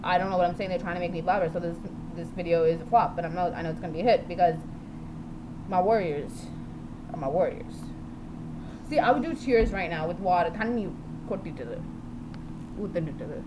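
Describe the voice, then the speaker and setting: A woman talking in an unscripted video diary, rambling and jumping from topic to topic, blunt and quick to swear. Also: on the low side. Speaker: vlogger. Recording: steady background noise with passing vehicles.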